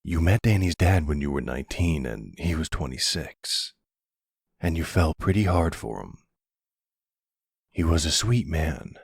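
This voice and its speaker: Man acting a very deep, gravelly mafia boss. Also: no room sound, clean studio sound, full character voice. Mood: sombre, careful. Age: middle-aged. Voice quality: gravelly, husky, breathy. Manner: soothing, unhurried, full of pet names. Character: older silver-fox mafia boss, protective, tender lover. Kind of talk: intimate ASMR boyfriend roleplay acting.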